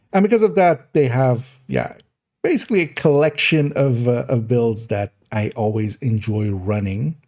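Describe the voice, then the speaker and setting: A man with a hoarse, raspy throat, streaming a game in casual, rambling talk, laughing at himself and restarting his intro. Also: on the high side, a little mellow. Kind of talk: casual gaming stream chat.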